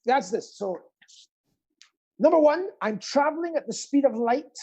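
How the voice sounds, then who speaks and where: A middle-aged man with a mellow, somewhat raspy voice hosts a quiz. He rambles in a camp, playful way and pokes fun at himself as he goes. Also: very high-pitched, animated, a little slow. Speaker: quiz host. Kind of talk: live online quiz banter.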